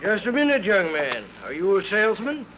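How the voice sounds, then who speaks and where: An actor playing a talkative, homespun apartment house manager. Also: male voice, high voice, sing-song, a little fast. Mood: gossipy. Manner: talkative, homespun, says ain't. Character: chatty, folksy male apartment house manager. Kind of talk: 1950s radio drama acting. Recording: muffled old radio broadcast with hiss.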